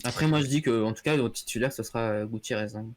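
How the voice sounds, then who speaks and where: A man's voice: medium pitch, fast talker, a little hiss or hum. A man co-hosting a casual sports chat, agreeable, with frequent fillers. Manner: agreeable, backchannelling, hesitant fillers. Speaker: podcast co-host.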